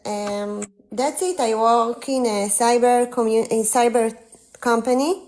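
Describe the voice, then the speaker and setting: A woman in her forties with an Israeli accent, a guest speaking over a live call, earnest and halting, with many fillers. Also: fairly high pitch, steady tone, darkish tone. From northern Israel. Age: 40s. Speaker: guest on a livestream. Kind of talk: casual conversation.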